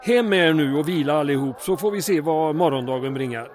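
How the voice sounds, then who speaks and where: Male actor as indulgent mayor, patient, fatherly, somewhat high voice, radio drama. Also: lively intonation, natural voice. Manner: fatherly, patient, gently firm.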